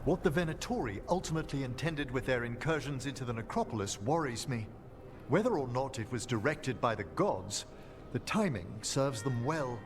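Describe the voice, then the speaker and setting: An actor voicing a courtly, kindly, middle-aged professor of necromancy in a fantasy game, with formal and eloquent theatrical delivery. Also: male voice, mid-range voice, animated, moderate pace, faint music behind, close to an everyday voice. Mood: grave. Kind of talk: fantasy video game dialogue.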